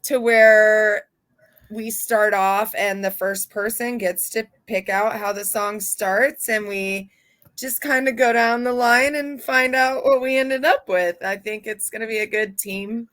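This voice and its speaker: A woman's voice: fairly high pitch, steady tone, measured pace, a bit bright, dry recording. A woman hosting a radio interview, chatting in a casual, upbeat way with plenty of fillers. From not from Texas. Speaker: radio show host.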